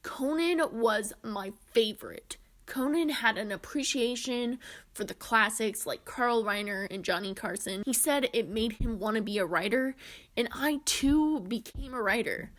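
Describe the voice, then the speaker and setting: Woman in her 20s doing video essay narration, voice on the higher side. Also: a little slow, fairly bright tone. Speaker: presenter.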